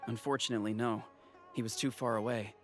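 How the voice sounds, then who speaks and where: A man's voice: medium pitch, fairly even intonation, fast, fairly bright tone, faint music behind, lightly acted. A man playing a dutiful, respectful soldier reporting to a superior in a video game. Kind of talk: video game character voice acting.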